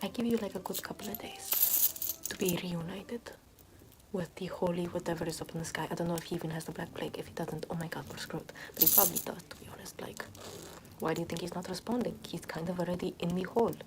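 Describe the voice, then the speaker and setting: A woman playing a callous, morbid medieval nun in ASMR roleplay, murmuring in a soothing, repetitive deadpan. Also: lowish voice, quick pace, noisy background, natural voice. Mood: morbid, casual. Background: music.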